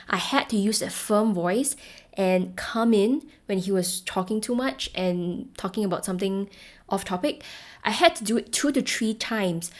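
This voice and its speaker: A young woman from Singapore with a Singaporean English accent, hosting a solo podcast as a voice coach, speaking clearly and encouragingly. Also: medium pitch. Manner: clear, encouraging, shifts between firm and gentle tones.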